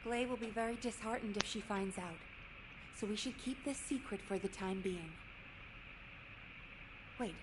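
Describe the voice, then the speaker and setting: A woman's voice: mid-range voice, normal speed, long pauses, close to an everyday voice. A woman playing a worried, formal military operations officer in a video game, speaking earnestly and articulately. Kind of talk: scripted video game dialogue. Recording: noisy game capture with ambient sound.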